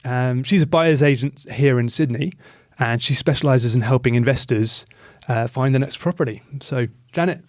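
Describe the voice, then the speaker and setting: Male podcast host, relaxed. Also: mid-range voice, normal speed. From England. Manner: friendly, loose, filler-heavy. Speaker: podcast host, mortgage broker. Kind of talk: podcast interview.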